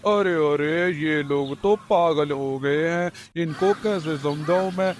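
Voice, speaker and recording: A man's voice: a little high, slow pace, a little hiss or hum. A man voicing a dismissive male crow in a children's cartoon, speaking emphatically. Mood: scornful. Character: scornful male crow, dismissive naysayer of the forest.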